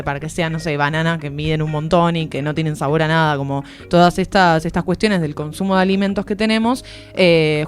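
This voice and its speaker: Low-pitched Argentine woman, music behind. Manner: informal, explanatory. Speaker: literary columnist on a radio show. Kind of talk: conversational radio book review. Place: radio studio.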